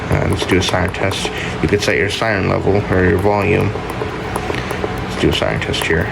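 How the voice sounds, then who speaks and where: A man with a low, creaky voice hosts an informal showcase video. He talks in a plain, offhand and halting way, unscripted. A loud, steady ambient hum and hiss runs behind him. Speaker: video host showing a collection. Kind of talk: casual unscripted product showcase.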